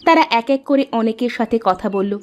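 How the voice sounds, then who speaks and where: A woman's voice: on the high side, animated, fairly quick, a little mellow, slight background noise. A woman reading scripted storybook narration as the narrator of a children's moral tale.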